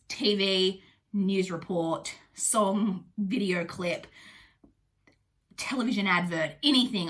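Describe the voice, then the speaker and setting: A woman's voice: mid-range voice, pushed and bright. A young adult woman with a blocked-up, nasal voice from a cold, chatting casually through a book review with lots of ums and likes. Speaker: booktuber host.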